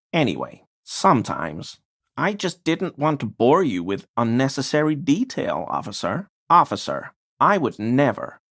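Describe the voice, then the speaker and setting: An obsequious video game conspiracy theorist with pretensions to scholarship. He speaks slowly and deliberately, with a very animated, rising and falling melody. His manner is deferential and wheedling, full of insinuation. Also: mid-range voice, heavily acted. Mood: earnest lecturing, then nervous, placating. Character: obsequious, insinuating male conspiracy-minded amateur researcher. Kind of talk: video game character dialogue.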